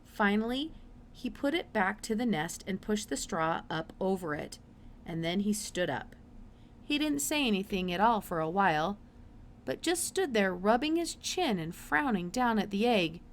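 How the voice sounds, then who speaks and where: A woman's voice: medium pitch, expressive, normal speed, slight background noise. A woman reading a children's story aloud as scripted narration. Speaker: storybook reader.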